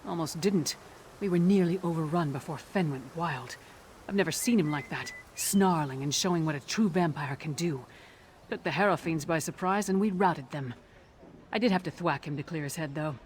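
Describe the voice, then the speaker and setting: A man's voice: on the high side, normal speed, slight background noise, lightly acted. An actor playing a blunt, dry-humored male warrior companion in a video game, with faint music behind. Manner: blunt, dry, matter-of-fact.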